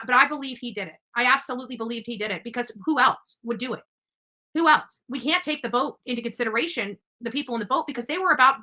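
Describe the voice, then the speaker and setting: A woman hosting a true-crime livestream, commenting over footage in a halting, emphatic way with repeated words. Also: fairly high pitch, pushed and bright, low-quality recording. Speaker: livestream host.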